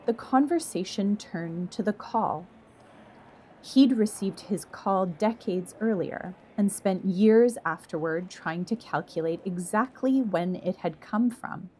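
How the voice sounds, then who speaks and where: A woman reading a scripted literary story as narrator, in a measured, reflective manner. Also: medium pitch, a little mellow, a little hiss or hum.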